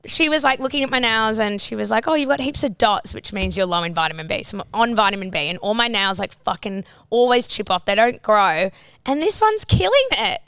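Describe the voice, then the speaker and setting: A woman's voice: a little high, animated, a little fast, a bit bright, clean tone, dry recording. A young Australian woman co-hosting a podcast, chatting casually with lots of "like" and giggles. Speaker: podcast co-host. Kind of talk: casual chat.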